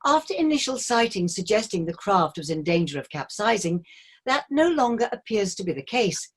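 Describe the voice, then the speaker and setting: A woman acting a cruise ship's captain in a stage play. The voice is husky, with lively rises and falls. The delivery is a formal, cheery, officious announcement meant to reassure passengers. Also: medium pitch, normal speed. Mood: officious, reassuring.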